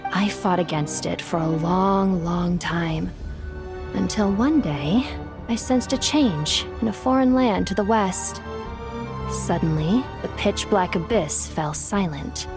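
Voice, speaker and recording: A woman's voice: fairly low pitch, slow pace, music in the background. A woman playing an ancient, regal desert spirit in a video game, speaking formally and loftily to the master she serves. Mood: solemn, reflective. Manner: formal, stately, lofty. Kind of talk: dramatic video game voice acting.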